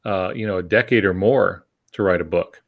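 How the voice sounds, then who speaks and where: A man's voice: low voice, moderate pace, vocal fry, narrow, tinny audio. An older man giving writing advice in casual podcast talk, with a wry, self-deprecating, folksy manner. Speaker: podcast co-host and novelist.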